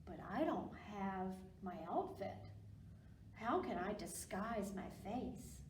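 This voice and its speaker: A woman's voice: mid-range voice, lively intonation, slow. A woman voicing a modest, reluctant female pony in a storybook, questioning and doubtful. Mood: worried. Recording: roomy, distant mic with background noise.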